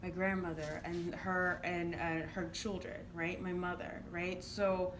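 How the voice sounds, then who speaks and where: A woman's voice: lowish voice, level tone, measured pace. A woman from Uganda giving conversational interview answers in an earnest, explanatory manner, often checking in with "right?". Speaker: interviewed entrepreneur and youth programme coach. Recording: clearly noisy room ambience.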